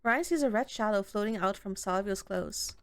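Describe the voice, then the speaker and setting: A woman's voice: mid-range voice, moderate pace, dry recording. A woman reading a visual novel aloud as she plays, narrating expressively and breaking into casual, exclaiming commentary. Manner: reactive, exclaiming, casual swearing.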